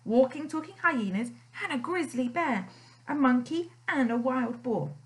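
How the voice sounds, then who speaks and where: A cocky storybook fox, a male blackmailer, growling and snapping his smug threats, as a woman puts him on. The voice rides loud and a touch high, full of swagger. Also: expressive, unhurried. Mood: menacing.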